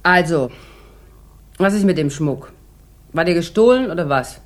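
Deep-voiced, boozy, mocking rich housewife. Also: female voice, animated. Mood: probing, wary. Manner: theatrical, sardonic, drawn-out rambling delivery. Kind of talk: 1960s German radio drama acting.

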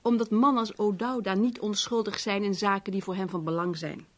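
An actress playing a sceptical, protective assistant in a 1970s radio play, dry and outspoken. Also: female voice, mid-range voice, fairly even intonation, brisk, natural voice. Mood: earnest warning.

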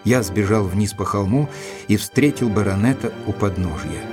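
An actor playing a composed gentleman who narrates a detective story as its loyal observer, in a measured storytelling manner. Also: on the low side, expressive, over music, natural voice. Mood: composed, watchful. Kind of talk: dramatised audiobook narration.

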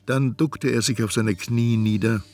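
An actor playing a reflective, uneasy narrator, telling his tale in measured literary radio play narration. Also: mid-range voice, fairly even intonation, darkish tone, lightly acted. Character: reflective male first-person narrator, uneasy and self-observing.

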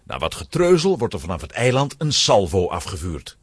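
A man's voice: on the low side, wildly swooping pitch, fairly quick, slight background noise. A man narrating a children's adventure story in a dramatic, scripted storytelling style.

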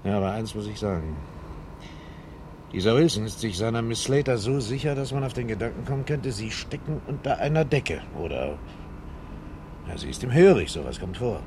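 An actor playing an exasperated, sarcastic police inspector with a blustering, scolding manner in a German radio crime drama. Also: male voice, fairly low pitch, animated, a little fast, a little mellow, natural voice. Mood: frustrated, brooding. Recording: noisy 1970s radio drama recording.

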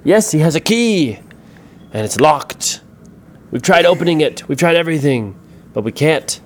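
A man's voice: a little high, expressive, moderate pace, a little hiss or hum, voice pushed up, lightly acted. A man voicing a menacing rat from a gang in a children's story, insistent and commanding, repeating orders. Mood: conspiratorial. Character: bossy, menacing gang of big rats.

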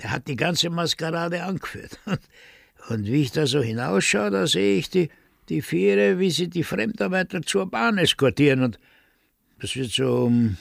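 Austrian blacksmith telling an old story, radio play, rambly and colloquial, creaky, dry sound. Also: male voice, fairly high pitch, animated, a little slow, fairly bright tone, dry recording, exaggerated voice. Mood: reminiscing. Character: village blacksmith recounting grim wartime memories.

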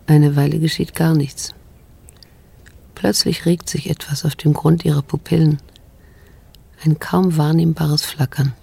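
A haunted middle-aged daughter narrating a radio play. Her voice is gravelly and husky, held almost flat. She sounds brooding and bitter, keeping everything restrained. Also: deep voice, measured pace, pauses often, heavily acted. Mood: tense, hesitant. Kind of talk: introspective radio drama narration.

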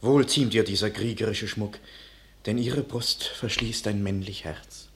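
An actor playing a calm, devoted young shepherd who speaks reassuringly in classic radio drama verse. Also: male voice, on the low side, unhurried, a little hiss or hum.

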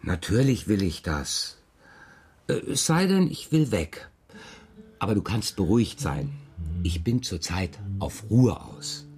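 A man acting a restless, playful father in a children's radio play, musing and gently evasive as he banters. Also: fairly low pitch, sing-song, slow pace, slightly rough, full character voice. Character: restless, playful father talking with his young daughter. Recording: studio radio play with music.

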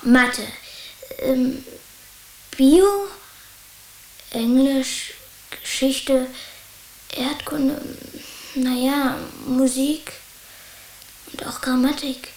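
A woman's voice: high-pitched, extremely slow, pauses often, a little mellow, full character voice. A woman playing an eager, candid schoolboy in a German children's radio drama. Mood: candid, chatty. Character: Anton, eager schoolboy, candid and a little stubborn. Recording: hissy, roomy recording.

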